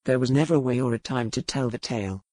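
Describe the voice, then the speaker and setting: A man's voice: mid-range voice, a little mellow, no room sound, close to an everyday voice. Man acting as titled pirate lord, proud, battle-hardened, formal phrasing, historical romance audiobook, dry sound. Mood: grave, reflective. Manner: formal, aristocratic, measured phrasing.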